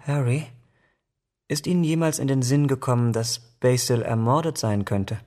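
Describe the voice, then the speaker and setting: Actor as brooding young gentleman, medium-pitched. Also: normal speed, lightly acted. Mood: guarded, probing. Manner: earnest, brooding. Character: troubled, youthful-looking gentleman with a dark secret. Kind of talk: German radio drama acting.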